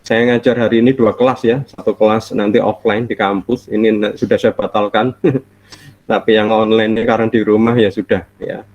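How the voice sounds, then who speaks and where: Low nasal man with a cold. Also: a little mellow, no echo. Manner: friendly, chatty, laughs often, drawn-out fillers. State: stuffy nose from a cold. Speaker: university lecturer. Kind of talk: online university lecture. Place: at home. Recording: dull lo-fi online call audio.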